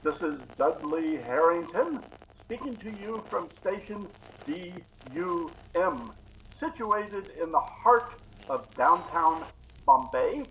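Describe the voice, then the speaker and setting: Radio announcer played by an actor. Sharp, urgent, emphatic and formal; slightly noisy recording. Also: medium pitch, animated, takes it slowly. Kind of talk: 1950s radio bulletin acting.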